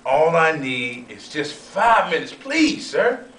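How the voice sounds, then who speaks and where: A man playing a proud, eager young railroad oilman and inventor in a folksy, expressive amateur sketch read-through. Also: on the high side, slow, full character voice. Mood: excited, eager. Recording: roomy, distant, noisy amateur recording.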